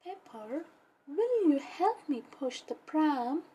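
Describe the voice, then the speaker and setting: Storybook mum, female reader: caring, patient, soothing, very slow delivery. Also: high voice, very animated, dark tone, muffled recording, exaggerated voice. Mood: tender. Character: gentle, caring mother of a young puppy. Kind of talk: children's storybook read-aloud.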